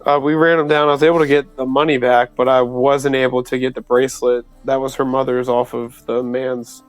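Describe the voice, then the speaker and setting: Smooth, clear-voiced male tabletop player. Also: mid-range voice, level tone, fairly quick, no room sound, soft background music. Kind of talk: casual in-character roleplay chat.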